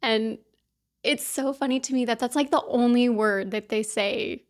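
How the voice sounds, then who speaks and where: A woman from talks straight to her viewers in a casual book vlog. Her voice sits a touch on the high side, and her manner is chatty and upbeat, full of little asides. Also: normal speed. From Kansas City, US. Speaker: book vlogger. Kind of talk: casual chat to camera.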